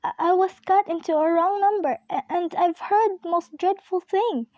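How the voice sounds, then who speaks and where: Woman acting high-voiced, fretful, demanding invalid wife. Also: steady tone, moderate pace, a little mellow, no echo, dull audio. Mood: agitated. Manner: fretful, imperious, stammering. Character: anxious, demanding invalid wife, alone at night. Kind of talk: amateur radio play acting.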